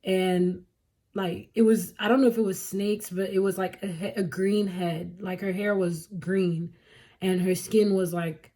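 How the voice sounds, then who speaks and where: Woman from Cameroon, vlogger, mellow voice, rambling casual storytime, laughs a lot. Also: mid-range voice, fairly even intonation, measured pace. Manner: chatty, rambling, self-correcting, laughs often.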